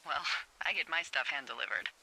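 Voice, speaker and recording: A woman playing a wry, flirty, warm-hearted veteran fire lookout in a video game, talking casually and teasingly over a walkie-talkie. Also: low-pitched, fairly quick, exaggerated voice. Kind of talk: naturalistic game dialogue. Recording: clearly noisy game ambience behind.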